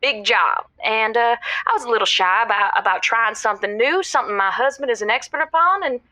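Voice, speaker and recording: A woman playing a middle-aged housewife calling a radio show in an audio drama, rambling, earnest and excitable. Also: fairly high pitch, a little fast, dry recording. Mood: giddy, confiding. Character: chatty middle-aged Southern housewife caller, proud and giddy.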